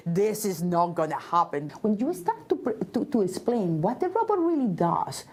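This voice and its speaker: A woman's voice: mid-range voice, very animated, moderate pace, darkish tone, breathy edge. A woman from Venezuela with a Venezuelan Spanish accent, a native Spanish speaker and robotics business owner, answering interview questions earnestly and sometimes searching for words in English.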